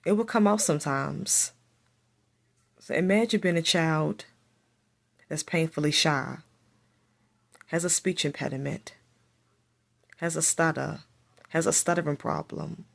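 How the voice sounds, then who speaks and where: A woman's voice: deep voice, measured pace, gravelly, pauses often. A woman who speaks with a stutter, telling a personal story to camera and repeating her phrases as she goes. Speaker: vlogger.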